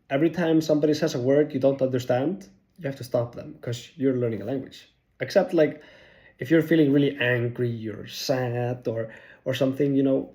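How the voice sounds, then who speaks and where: A young man talks through language-learning tips to camera, chatty and self-deprecating, trailing into fillers and restarts. His voice sits in a mellow, relaxed register at a middle pitch. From Iceland. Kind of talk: casual talking-head tips video.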